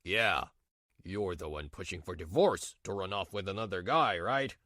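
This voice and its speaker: A man voicing a stern, dignified older father in a manga dub, speaking gravely and admonishing. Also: low-pitched, normal speed, bright, forceful tone, dry recording, clean studio sound, voice pushed down. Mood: accusing.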